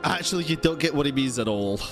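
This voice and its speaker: A man streaming a video game with casual, expressive live reactions full of slangy exclamations. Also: fairly high pitch, fast, over music.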